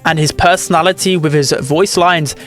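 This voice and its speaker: A man's voice: fairly high pitch, fairly even intonation, moderate pace, fairly bright tone. A young man giving casual, chatty gaming commentary in a run-on delivery. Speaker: gaming video host.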